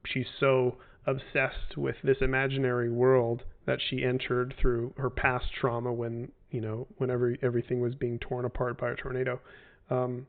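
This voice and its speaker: A man in his forties chatting loosely through fan questions, his voice gravelly and flat in tone. He wanders and restarts, leaning on fillers. The audio is tinny, like a phone line. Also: mid-range voice, normal speed, hardly any pauses. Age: 40s. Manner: rambling, hesitant with many fillers and restarts. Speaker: game developer answering fan questions. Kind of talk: casual livestream Q&A.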